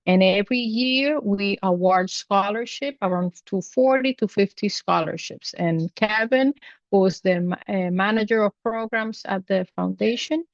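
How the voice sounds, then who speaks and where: A woman's voice: medium pitch, unhurried, no room sound, lo-fi sound. A woman hosting an online panel over a video call, introducing each speaker in turn. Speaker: panel host.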